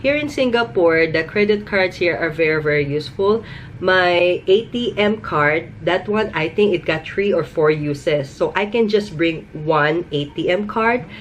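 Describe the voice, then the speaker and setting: A woman with a Filipino accent talks casually, as a vlogger giving advice. Her voice sits a little low, and she leans on repeated words for emphasis. There is some noise behind her. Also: measured pace. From Philippines. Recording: noticeable background noise.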